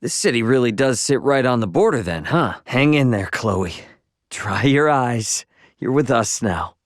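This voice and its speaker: Casting: male actor as young game hero, brash, offhand and slangy, animated intonation, mid-range pitch, close dry sound. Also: a little slow, no room sound, lightly acted. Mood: steady, focused. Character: brash, casual young male adventurer, impatient but good-hearted. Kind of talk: video game character voice lines.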